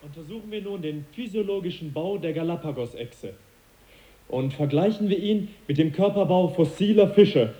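An actor playing the young naturalist Charles Darwin, earnest and measured, in a radio play. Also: male voice, a little high, warm tone, slightly rough. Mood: lecturing. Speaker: scientist lecturing. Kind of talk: 1980s German radio play acting. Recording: dull lo-fi radio recording, faint hiss.

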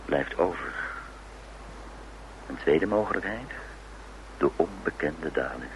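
A man's voice: rumbling low, animated, takes it slowly, exaggerated voice. An actor playing a hotel detective in a radio crime drama, a man speaking in dry, musing, clipped phrases. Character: hotel detective Steve, deep-voiced, dry, speculating man. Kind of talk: radio crime drama acting with inner monologue. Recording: noisy old radio drama recording.

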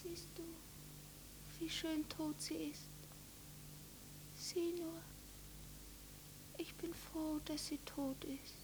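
A woman's voice: extremely high pitch, little pitch movement, very slow, pauses often, noisy background, heavily acted. An actress playing a feverish, deranged young girl in a radio drama, murmuring dreamily and repetitively. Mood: faint, entranced. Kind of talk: 1950s German radio drama acting.

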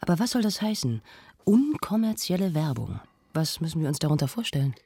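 An actress playing an intrigued, reverent female culture presenter in a radio play, with a soft, husky, creaky voice. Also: low voice, very animated, brisk, dry recording, clean studio sound, full character voice. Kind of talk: radio play acting as an interview.

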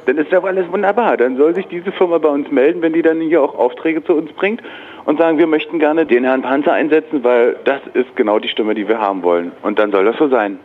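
A man's voice: fairly high pitch, a little fast. Tinny phone voice of a wordy man. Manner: wordy, hedging, politely dismissive. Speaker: dubbing studio employee taking a call. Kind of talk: phone conversation. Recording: tinny narrow-band phone line.

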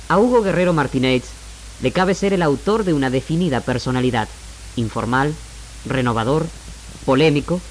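A man with an Argentine accent delivers a scripted radio monologue in a measured, formal, rhetorical manner as the host. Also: medium pitch, a little hiss or hum, low-quality recording. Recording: 1969 AM radio archive, music bed. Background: music.

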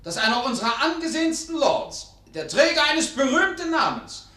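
An actor playing a dry, eloquent parliamentarian who delivers formal, declamatory speeches in a radio play. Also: male voice, extremely high pitch, very animated, moderate pace, pushed and bright, full character voice. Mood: emphatic, scornful. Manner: oratorical, declamatory. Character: eloquent male parliamentarian, dry, opposing pointless debate. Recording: echoey chamber, distant microphone.

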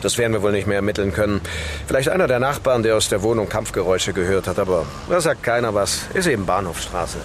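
A man acting as a self-satisfied police inspector with a lowish voice. He sounds assured and matter-of-fact, in natural radio-drama style. Faint urban street noise sits behind him. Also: lively intonation, fast talker, natural voice. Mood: confident, dismissive. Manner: matter-of-fact, assured, slightly hesitant when confiding.

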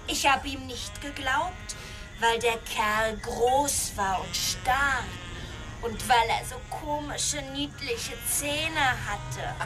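A woman's voice: on the high side, low-quality recording. Unhinged rich girl in a radio drama, coy n childlike, drags her words out, music behind. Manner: slow, coquettish, childlike.

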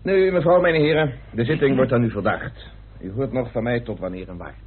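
An actor playing a brisk, genial, formal chairman of an inquiry in a radio drama. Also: medium pitch, lightly acted. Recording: muffled, tinny old radio recording.

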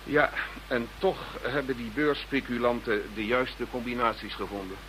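An actor playing a formal, eloquent government minister who speaks insistently in a radio play. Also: male voice, mid-range voice, moderate pace, a bit bright, natural voice. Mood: measured, explaining. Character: Dutch government minister protesting his innocence to detectives. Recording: slightly noisy radio drama recording.

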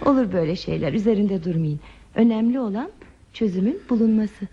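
An actress playing a troubled, reflective woman traveler in a radio play, with deliberate, poetic phrasing and a breathy voice. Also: medium pitch, warm tone. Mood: calm, reassuring. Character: pensive, troubled woman traveler stranded at a remote station. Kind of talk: Turkish radio theatre acting. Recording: muffled old radio drama recording.

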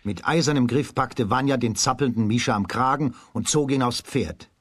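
A man narrating a children's radio play as a scripted storyteller, in a husky voice. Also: medium pitch, moderate pace, no room sound.

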